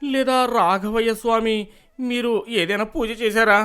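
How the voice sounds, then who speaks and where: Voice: mid-range voice, normal speed, fairly bright tone, clear, resonant tone, close to an everyday voice. A voice actor playing an anxious village man who turns agitated and accusing in a cartoon story.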